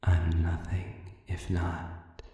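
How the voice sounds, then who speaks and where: Casting: male actor as sadistic demon for audio roleplay, dark mellow low voice, deliberate and coaxing, in a cavernous reverberant space. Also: completely flat delivery, far from the mic. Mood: quietly determined. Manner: slow, deliberate, breathy murmur with long pauses. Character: sadistic manipulative male demon, smooth-talking and falsely humble. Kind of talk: intimate audio roleplay monologue. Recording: cavernous reverb, voice set back.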